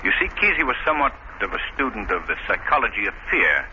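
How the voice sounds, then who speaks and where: A muffled old radio drama voice, intensely bright and expressive. an assured gentleman detective with measured, declamatory delivery. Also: medium pitch. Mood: assured, explanatory. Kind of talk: 1930s radio acting. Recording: muffled, noisy 1930s radio recording.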